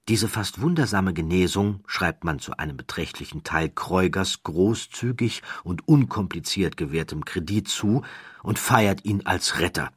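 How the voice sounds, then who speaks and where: Male audiobook narrator: low, slightly husky, measured and dramatic, recorded dry. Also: a bit bright, no echo. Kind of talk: scripted narration of a historical true story.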